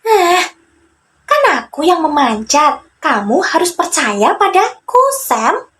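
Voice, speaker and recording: A very high-pitched, whiny monkey played by a woman storyteller from a children's fable. He is greedy and sly, drawing his words out slowly with exaggerated exclamations. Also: sing-song, a bit bright, heavily acted. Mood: defensive.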